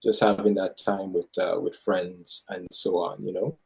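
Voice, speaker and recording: Man, from Guyana, low-ish voice, easygoing, storytelling. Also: level tone, a little slow, vocal fry, crowd noise, low-quality recording. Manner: easygoing, chuckling storyteller with fillers. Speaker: guest. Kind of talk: casual chat, storytelling.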